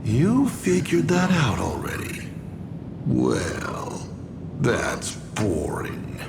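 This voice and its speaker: An actor playing a sly, theatrical masked trickster who poses as a father, wry and gravelly, in a video game. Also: on the low side, wildly swooping pitch, very slow, noisy background, exaggerated voice. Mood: amused. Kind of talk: dramatic video game dialogue.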